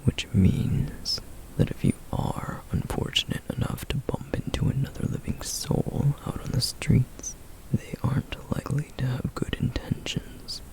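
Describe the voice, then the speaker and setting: Protective flame demon, voiced by a man, who talks in a slow, sardonic whisper with a gravelly edge. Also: mid-range voice, rough recording. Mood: cautious. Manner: hushed, measured and dryly sardonic. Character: protective, duty-bound male flame demon guide. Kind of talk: whispered ASMR roleplay.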